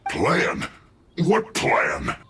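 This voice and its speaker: A man's voice: lowish voice, huge pitch swings, extremely slow, sharp tone, roomy sound, slight background noise, exaggerated voice. A man playing an aggrieved video game soldier who protests and pleads with indignation. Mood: defensive pleading. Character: aggrieved, whiny male Fatui soldier caught out.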